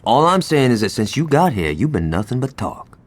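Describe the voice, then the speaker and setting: An actor playing a sceptical, short-tempered gangster lieutenant in a video game cutscene. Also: male voice, mid-range voice, sing-song, fairly quick. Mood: irritated.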